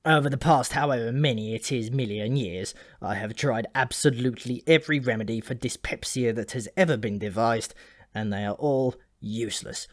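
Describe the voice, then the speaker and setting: A man's voice: mid-range voice, normal speed, no room sound, lightly acted. Ancient dwarf for a fantasy audiobook , acted by a man: dyspeptic, gloomy, rambling, grudgingly friendly, recorded dry. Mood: weary, complaining.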